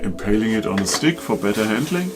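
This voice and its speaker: A man's voice: lowish voice, expressive, fairly quick. A man hosting a scripted comedic craft video, asking eager questions with self-deprecating humor, with music playing behind. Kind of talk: scripted comedic tutorial dialogue. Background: background music.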